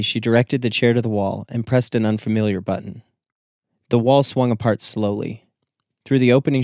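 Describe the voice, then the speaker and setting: A man narrates a literary audiobook in a fairly low voice. His phrasing is measured and deliberate. The sound is dry, with no room echo.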